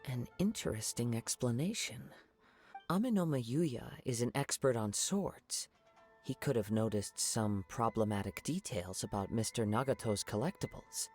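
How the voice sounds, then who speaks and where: A man voicing a calm, thoughtful young samurai in a video game, speaking softly in measured, formal phrases. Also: medium pitch, soft background music, lightly acted. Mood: pondering, calm. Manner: measured, contemplative, formal wording. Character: calm, thoughtful young male wandering samurai, polite and observant. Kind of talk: video game character acting.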